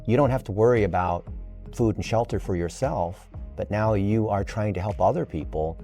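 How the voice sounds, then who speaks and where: A man's voice: on the low side, moderate pace, warm tone, faint music behind. A man in his early fifties explaining things at length in an enthusiastic, earnest, emphatic conversational way. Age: early 50s.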